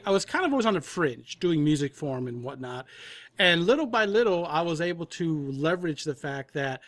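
A man's voice: fairly high pitch, a bit bright. A man chatting casually as an interview guest, rambling a little and rephrasing as he goes. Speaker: podcast guest, composer. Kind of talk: casual chat.

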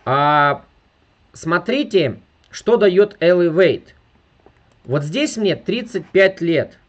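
A man's voice: high voice, lively intonation, takes it slowly, muffled recording. A man in his thirties giving an emphatic sales presentation over a video call, constantly appealing to his viewers. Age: 30s. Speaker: network marketing presenter. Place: indoor room with furniture.

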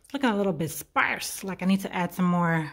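A woman's voice: on the low side, lively intonation, brisk, gravelly, fairly bright tone. A young woman chatting casually to her viewers while decorating her home, laughing at herself and calling them y'all. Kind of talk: casual home vlog chat. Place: bright home, living room and stairway.

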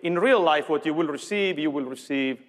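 Guy doing a tech keynote demo, slightly high voice, big echoey hall. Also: brisk. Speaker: software executive presenting a product demo. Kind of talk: live keynote presentation. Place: large conference stage with audience. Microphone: lapel mic. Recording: reverberant large hall sound.

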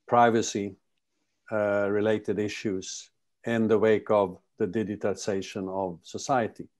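An older man speaking as a panelist on a video call from a home study, unhurried and reflective with hesitant pauses. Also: fairly low pitch, a little mellow. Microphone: video call webcam.

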